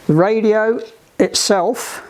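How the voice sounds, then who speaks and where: A man giving hands-on instruction to a group in a halting, deliberate, emphatic way, on an amateur recording. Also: sits high. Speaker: sailing club instructor giving radio training.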